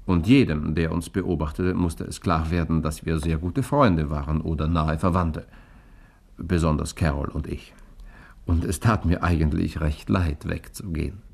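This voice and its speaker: An actor playing a cool, gallant journalist who also narrates, in a 1960s German radio crime drama, with a dry, laconic delivery. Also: male voice, low voice, moderate pace, vocal fry, slightly rough, heavily acted. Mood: reflective narration. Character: cool, gallant male journalist, hero and first-person narrator.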